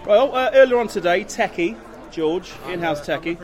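A man's voice: high voice, lively intonation, normal speed. A man hosting a podcast with casual banter. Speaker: podcast host. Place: out at a London pub.

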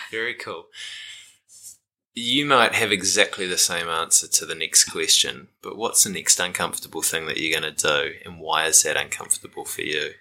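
A man's voice: low voice, normal speed, bright, forceful tone. A man interviewing a guest in a casual, conversational style.

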